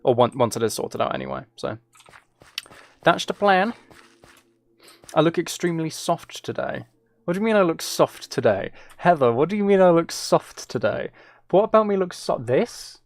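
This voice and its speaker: A man's voice: fairly high pitch, very animated, normal speed, gravelly, dry recording. A man chatting casually on a livestream, answering viewers in a rambling, conversational way and repeating his phrases. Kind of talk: casual livestream chat, reading out and answering comments.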